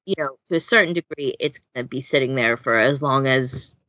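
A woman's voice: rumbling low, brisk, bright, forceful tone, dry recording. A woman podcast host chatting casually in a blunt, emphatic way on a lo-fi recording. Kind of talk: casual chat. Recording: dull lo-fi audio.

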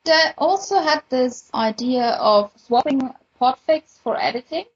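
A woman's voice: high-pitched, lively intonation, a little slow, breathy edge, dull audio. A woman who speaks English as a second language, chatting casually as a podcast panelist. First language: a language other than English. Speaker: podfic creator and podcast panelist.

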